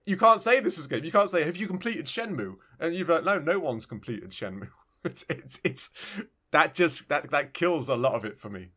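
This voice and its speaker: A man's voice: a little high, lively intonation, moderate pace, bright, forceful tone. A man co-hosting a gaming podcast, chatting informally and repeating phrases for emphasis as he gives opinions. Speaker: podcast co-host. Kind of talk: casual game review chat.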